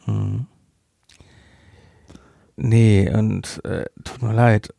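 A man's voice: lowish voice, fairly even intonation, extremely slow, gravelly, mellow, no room sound, studio quality. A man co-hosting a podcast, chatting casually in a review, hesitant with many fillers and restarts. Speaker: podcast co-host.

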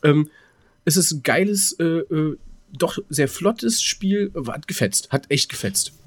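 A man's voice: on the high side, lively intonation, takes it slowly, no echo. A man of twenty-seven chatting casually as a podcast co-host, rambling through anecdotes and repeating words as he searches for them. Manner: rambling, stuttering word repeats. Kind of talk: casual chat, telling anecdotes.

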